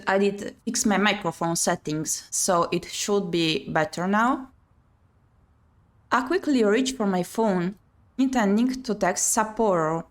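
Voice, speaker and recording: A woman playing a visual novel on her channel, reading the text aloud and adding chatty commentary. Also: medium pitch. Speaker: video game streamer.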